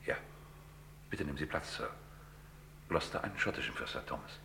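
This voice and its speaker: A man playing a suave, scheming gentleman dealer in an old German radio crime drama, formal, measured and deadpan. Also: a bass voice, creaky, exaggerated voice. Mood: smooth, courteous. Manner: ceremoniously polite, measured, deadpan. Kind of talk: German radio crime drama acting, mid-20th century. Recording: noisy old radio play recording.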